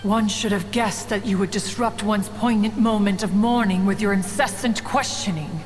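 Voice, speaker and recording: A woman's voice: medium pitch, steady tone, moderate pace, lightly acted. A woman voicing a proud, ancient female immortal crane in a game, speaking in pompous, archaic, formal phrases. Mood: sorrowful, reproachful. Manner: pompous, archaic formal diction, refers to self as "one". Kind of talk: scripted game dialogue, theatrical storytelling. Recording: long hall reverb, music behind.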